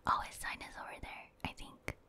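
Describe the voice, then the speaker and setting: A woman whispering casual, slangy ASMR commentary as she plays a video game, reacting softly and chatting to viewers. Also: brisk. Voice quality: soft unvoiced whisper. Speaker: streamer, gaming ASMR creator.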